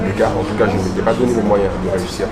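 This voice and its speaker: A man in his early thirties with a deep voice gives a personal account as an interview guest. He speaks reflectively, and music plays loudly behind him. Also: steady tone, rapid-fire. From French Guiana. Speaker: interview guest, law professional.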